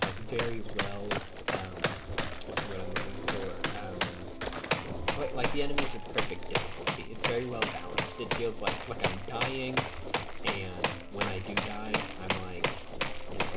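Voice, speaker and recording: A man, sick and raspy-voiced, casually commenting on a game as he plays, rambling with many ums and likes. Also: on the low side, very noisy. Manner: rambling, hesitant, lots of um and like. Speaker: gaming YouTuber. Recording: quiet voice under loud game music. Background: loud game music.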